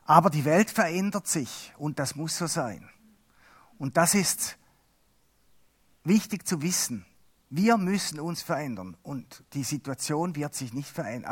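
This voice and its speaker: Swiss man preaching in halting standard German. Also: fairly high pitch, husky. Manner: chatty, halting, with self-mocking humor. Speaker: lay preacher. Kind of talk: sermon.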